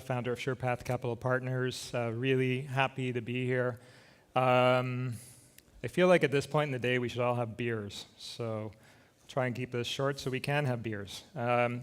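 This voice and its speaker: A man speaks from a conference stage into a microphone. His pitch sits in the middle of the usual range, and his talk is loose and conversational, with a dry, self-deprecating humor and plenty of filler words. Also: fairly even intonation, moderate pace, sharp tone, smooth. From Scotland.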